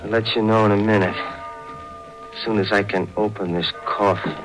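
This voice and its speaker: An actor playing a jumpy, suspicious small-time crook in a 1940s radio thriller, stammering and curt in slangy talk. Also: male voice, fairly low pitch, steady tone, normal speed, faint music behind, close to an everyday voice, rough recording. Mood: hard, secretive. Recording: old 1940s radio broadcast recording.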